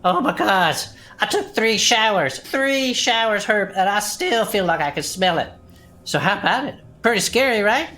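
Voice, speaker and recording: A woman playing a folksy, crude, excitable adventurer who co-hosts a monster-mystery show in an audio drama, colloquial and exclamatory. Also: medium pitch, moderate pace. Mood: animated, grossed out. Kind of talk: audio drama acting as a chatty fantasy podcast co-host.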